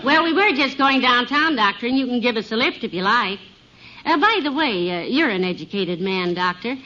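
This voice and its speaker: A woman's voice: a little high, lively intonation, moderate pace, bright, forceful tone. A woman playing a sensible, polite and folksy housewife in an old radio comedy. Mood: genial. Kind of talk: 1950 radio comedy acting. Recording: muffled old radio, audience noise. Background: studio audience.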